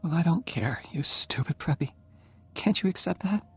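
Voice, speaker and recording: Man doing a dying young woman, high voice, husky, teasing, bossy, audiobook. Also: animated, normal speed, heavily acted. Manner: mocking, bossy, tender underneath. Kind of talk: audiobook character reading.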